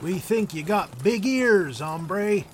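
A man's voice: high voice, expressive, takes it slowly, slight background noise. A man playing a threatening, bossy bandit in an old-time radio western.

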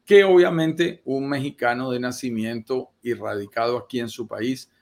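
A man giving investment advice on a live video call, measured and persuasive, laying out his points as lists. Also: medium pitch, creaky. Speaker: real estate investment advisor. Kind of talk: explanatory live-stream talk.

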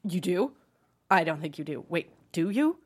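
A woman's voice: lowish voice, big pitch swings, moderate pace, slightly rough. A woman playing an anxious, guilty, wisecracking young woman at a comedy table read, blurting and sarcastic. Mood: flustered, nervous.

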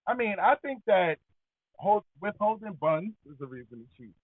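A man's voice: sits high, big pitch swings, normal speed, no echo. A man co-hosting a casual talk show, arguing his points in an insistent, slangy, conversational way. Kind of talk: casual debating banter. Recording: tinny, phone-like band-limited audio.

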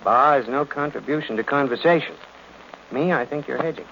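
An actor playing a glib, wry detective's assistant who narrates and needles in old radio drama. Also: male voice, mid-range voice, brisk, gravelly, fairly bright tone. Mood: teasing. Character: breezy, wisecracking male assistant and narrator of detective. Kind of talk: 1940s radio acting. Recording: muffled old radio recording, faint noise.

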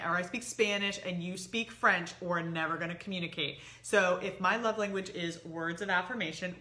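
A woman's voice: lowish voice, bright, forceful tone. A middle-aged woman co-hosting a conversational relationship advice talk, explaining points and acting out example phrases as she goes. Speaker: co-host giving relationship advice.

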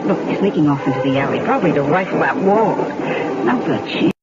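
An actress playing a streetwise crook who speaks in a knowing, urgent way. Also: female voice, low-pitched, lively intonation, quick pace, loud music behind the voice. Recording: dull old radio broadcast with loud noise.

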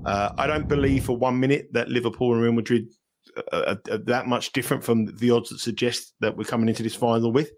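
London-accented male betting analyst, chatty and animated, mid-range voice. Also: mid-range voice, fast talker, a little hiss or hum. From England. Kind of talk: podcast interview.

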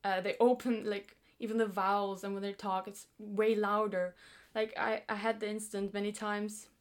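A woman's voice: mid-range voice, level tone. Young woman chatting as a guest. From Greece. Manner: informal, halting and explanatory, many repeats of "like". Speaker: podcast guest. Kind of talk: casual interview chat.

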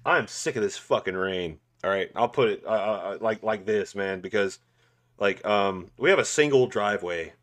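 A man's voice: on the low side, normal speed, a bit bright. A man in his twenties, co-hosting a podcast in casual chat, laid-back and swearing a lot. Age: 20s. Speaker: podcast co-host.

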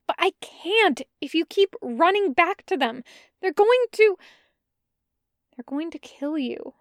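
Storybook heroine, fierce and loving, pitched high and dry close sound, read by a woman. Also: dry recording. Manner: earnest, pleading, voice climbing high.

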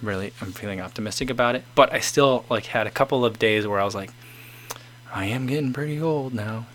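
A man's voice: mid-range voice, fairly quick, a bit bright. A man in his forties casually chatting as host, reading out viewer comments and rambling with many restarts. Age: 40s.

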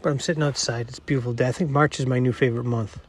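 A middle-aged man with a nasal voice hosting a podcast on his own, rambling and self-deprecating, talking quietly outdoors. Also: medium pitch, fairly even intonation, rapid-fire, a little hiss or hum. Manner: quiet, not projecting. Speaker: podcast host. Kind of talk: casual solo monologue.

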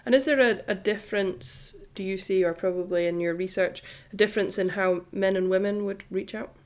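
A woman's voice: medium pitch, steady tone, fairly quick. A woman from Edinburgh, chatting casually as co-host of a podcast and laughing easily. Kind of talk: casual conversation.

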